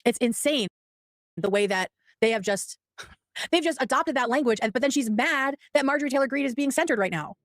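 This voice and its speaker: A woman's voice: high voice, expressive, brisk, no echo, studio quality. A woman co-hosting a political livestream, talking bluntly and casually with some swearing. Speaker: livestream co-host. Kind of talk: casual chat.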